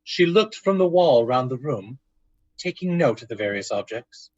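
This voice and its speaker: Husky male narrator of a radio drama. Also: medium pitch, expressive, moderate pace, darkish tone, dry recording, muffled recording. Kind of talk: dramatic scripted narration in old-time radio style.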